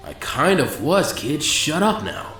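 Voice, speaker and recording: A man voicing a laid-back, evasive male ninja teacher in a story, with a low-key drawl. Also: big pitch swings, slow pace, fairly bright tone, heavy reverb, big-room sound, faint music behind, exaggerated voice.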